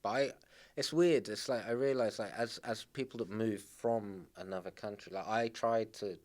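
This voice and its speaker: A middle-aged man with an English accent talks in a fairly low voice. He rambles and restarts his sentences often. Persian was his first language. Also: darkish tone. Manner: rambling, halting, many restarts. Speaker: podcast guest. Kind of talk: casual conversation.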